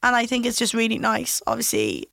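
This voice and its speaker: A woman hosting a casual podcast chat, talkative and quick to laugh. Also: fairly high pitch, very animated, creaky, fairly bright tone, no room sound, studio quality. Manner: chatty, laughs often, quick agreeing fillers. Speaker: podcast host, dance class leader.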